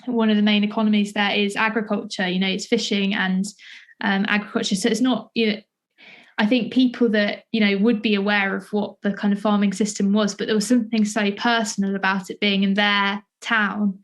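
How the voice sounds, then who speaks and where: A woman's voice: medium pitch, little pitch movement, a little fast. Hesitant woman in conversation. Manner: hesitant, searching, hedging delivery with many false starts. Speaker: podcast interviewer. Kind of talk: conversational interview.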